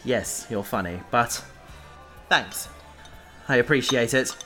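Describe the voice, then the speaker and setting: A man's voice: mid-range voice, takes it slowly, pushed and bright, soft background music. A man playing an idealistic, rebellious young heir in a science fiction audio drama, speaking with earnest, pleading passion. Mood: wry, sociable.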